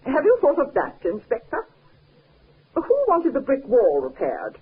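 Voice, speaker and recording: An actress playing a bitter, jealous, scheming cousin in a 1950s radio drama, speaking with insistent, dramatic emphasis. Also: female voice, high voice, huge pitch swings, a little slow, slightly rough, exaggerated voice. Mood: accusing. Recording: muffled, narrow-band 1950s radio recording.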